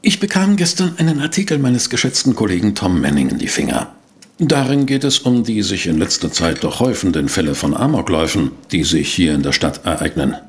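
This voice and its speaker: Inquisitive, well-mannered reporter for radio play: lowish register, animated melody, formal delivery. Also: male voice, slight background noise. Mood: earnest. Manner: polite, formal, measured. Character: inquisitive, courteous male newspaper reporter and narrator. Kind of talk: German audio drama acting and first-person narration.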